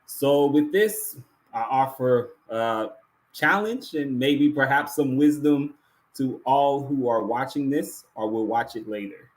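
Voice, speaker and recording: A man with a mid-range voice speaks as the host of an awards show. He delivers prepared closing remarks to his audience with earnest, deliberate phrasing, repeating key words for emphasis. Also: medium pitch, poor audio.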